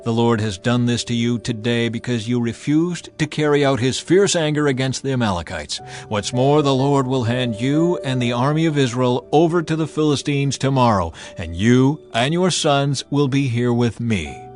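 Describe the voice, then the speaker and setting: A man's voice: medium pitch, moderate pace, hardly any pauses, darkish tone, faint music behind, close to an everyday voice. A man voicing a stern old prophet who speaks with solemn weight. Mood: grave. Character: stern old male prophet, grave and doom-laden.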